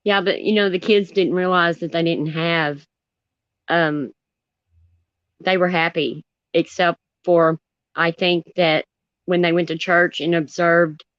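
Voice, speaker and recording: A chatty woman calls into a live chat and gives her opinions plainly. Her voice sits a little low, and her audio is dry and dull, like a lo-fi call. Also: fairly even intonation, takes it slowly, long pauses, fairly bright tone, dry recording. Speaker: guest caller. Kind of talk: casual chat.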